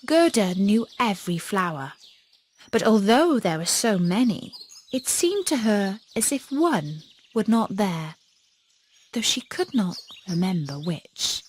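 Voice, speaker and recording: Expressive, unhurried woman storyteller. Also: medium pitch, slight background noise. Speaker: audiobook narrator. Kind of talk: scripted children's fairy tale narration.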